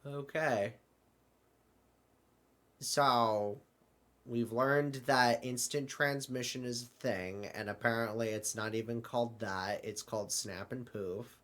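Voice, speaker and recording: A man playing bewildered, apologetic travelers in an amateur audio drama, with a halting, stammering delivery. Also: medium pitch, fairly even intonation, fairly bright tone. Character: bewildered, apologetic accidental travelers stranded in the void.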